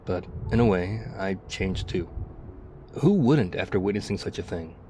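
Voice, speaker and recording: Mellow, low-voiced war-weary male survivor acted by a man. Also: animated, faint music behind. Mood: regretful, sad. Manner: confiding, reflective, halting. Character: battle-worn male ex-soldier survivor of zombie apocalypse, wistful, confiding. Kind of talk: intimate ASMR roleplay acting.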